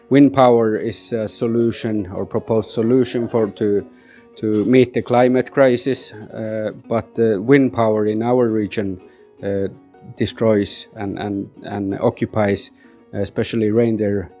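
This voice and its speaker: Hesitant Sami man, dark mellow voice. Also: lowish voice, fairly even intonation, measured pace, soft background music. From Sapmi, northern Scandinavia. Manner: hesitant, many fillers and repeated words. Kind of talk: unscripted interview answer. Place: summit venue in Geneva.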